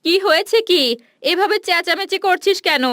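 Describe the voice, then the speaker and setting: High, bright village mother, voiced by a woman. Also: fairly even intonation, normal speed, no room sound, studio quality. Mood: impatient, dismissive. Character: busy, practical village mother. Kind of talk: cartoon fairy tale dialogue.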